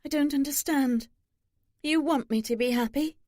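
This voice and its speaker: A woman's voice: high voice, moderate pace, dark tone, no room sound, clean studio sound, voice pushed up, close to an everyday voice. A woman voicing a hurt, proud young daughter in an audiobook novel, emotional and defiant. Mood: confused.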